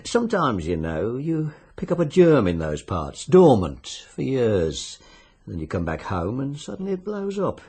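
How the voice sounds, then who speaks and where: Genial but sinister British fixer, low husky voice, swooping intonation, insinuating radio drama delivery. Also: male voice, huge pitch swings, unhurried, dark tone, heavily acted. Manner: insinuating, euphemistic, coolly businesslike. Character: sly, genial bookmaker-like fixer arranging deaths by wager.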